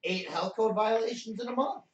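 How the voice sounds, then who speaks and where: A man who keeps agreeing and asks "right?" in a relaxed talk. He sits back from the microphone. The room gives his voice a roomy echo. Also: sits high, brisk, distant mic, dull audio, a little hiss or hum. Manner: affirming, keeps saying "right?". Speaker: co-host. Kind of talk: casual chat about news clips. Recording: echoey, off-mic.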